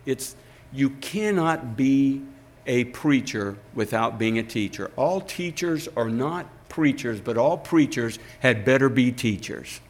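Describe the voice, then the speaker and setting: An older man, a pastor, reading scripture aloud and preaching a sermon in a slow, deliberate way with emphatic pauses. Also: medium pitch, expressive.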